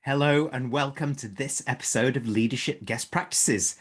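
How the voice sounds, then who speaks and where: A man hosting a podcast, reading introductions and putting questions in a measured, articulate presenter's manner. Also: medium pitch, husky. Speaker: podcast host. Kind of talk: scripted podcast introduction and interviewing.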